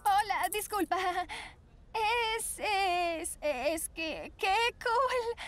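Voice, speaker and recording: A sweet, anxious cartoon teenage girl with a very high voice and a Latin American accent. She is hesitant and melodramatic, with faint music underneath. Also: lively intonation, extremely slow, fairly bright tone, exaggerated voice. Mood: torn, distressed. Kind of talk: dubbed children's cartoon acting. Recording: light music behind the voice.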